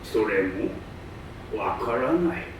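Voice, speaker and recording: Man acting pompous old geographer, slow drawn-out delivery, highly animated pitch, stage reading, very echoey hall. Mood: matter-of-fact. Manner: pompous, drawn-out, emphatic pronouncements. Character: pompous, eager old male geographer, self-styled scholar. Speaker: stage reader. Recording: distant mic in echoey hall, faint noise.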